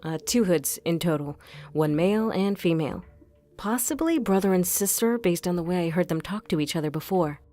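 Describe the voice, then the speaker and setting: Woman acting a level-headed crime drama investigator, lowish voice, measured n methodical, bit of music behind. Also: lively intonation, warm tone, natural voice. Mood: measured, serious.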